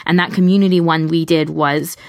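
Female co-host, marked vocal fry, conversational explainer style, very dry close recording. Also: sits low, fairly even intonation, fairly quick, no echo. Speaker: podcast co-host. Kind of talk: casual podcast chat.

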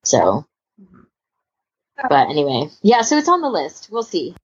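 A woman's voice: low voice, huge pitch swings, unhurried, breathy edge, dull audio. A woman hosting a podcast in casual, conversational chat. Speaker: podcast host.

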